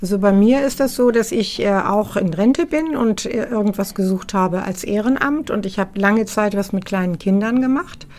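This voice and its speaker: A woman's voice: mid-range voice, moderate pace, hardly any pauses, a little mellow. A retired woman in her sixties, a volunteer, explaining her reasons plainly and matter-of-factly.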